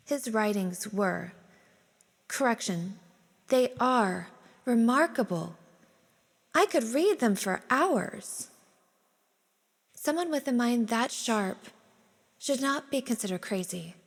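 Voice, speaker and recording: Woman acting protective lady in a stage drama, emphatic and pleading, slow and swoopy, hall echo. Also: on the high side, lively intonation, heavily acted. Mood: admiring. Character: loving, defensive woman championing a misunderstood artist. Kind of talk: stage play dialogue. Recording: echoey theatre hall.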